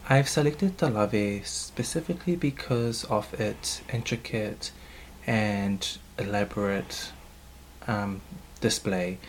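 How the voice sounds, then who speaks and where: Low-voiced New man, thoughtful. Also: slow pace, slight background noise, rough recording. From New Zealand. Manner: thoughtful, hesitant, frequent um fillers. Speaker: cultural leader. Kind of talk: interview answers.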